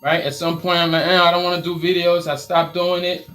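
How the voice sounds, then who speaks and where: A man's voice: a little high, brisk. Upbeat Liberian man hosting a live stream. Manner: energetic, motivational, repeats phrases. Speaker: t-shirt business coach.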